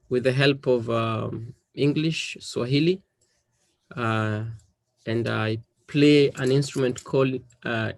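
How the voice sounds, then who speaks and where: A man's voice: medium pitch, no room sound. A man from western Kenya with a Kenyan accent, a native speaker of Dholuo, answering interview questions with frequent ums and repeated words. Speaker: musician interviewee.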